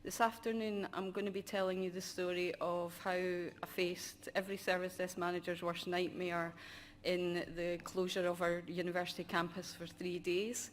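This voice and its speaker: Low-voiced woman giving a conference talk. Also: normal speed, a little hiss or hum. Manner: self-described monotonous delivery with frequent ums. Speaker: conference speaker, university user support manager. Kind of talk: conference presentation.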